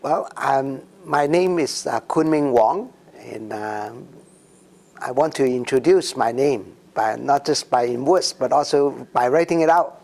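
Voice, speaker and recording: Slow-speaking man in his sixties, gravelly. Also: mid-range voice, darkish tone. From Macau and Hong Kong. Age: 60s. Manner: slow, hesitant, many ums and restarts. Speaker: interviewee. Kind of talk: oral history interview.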